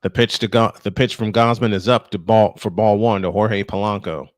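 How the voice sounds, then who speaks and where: A man's voice: lowish voice, steady tone, moderate pace, no echo, studio quality. An American man doing baseball play-by-play mixed with casual chat, telling things in a folksy, repetitive way.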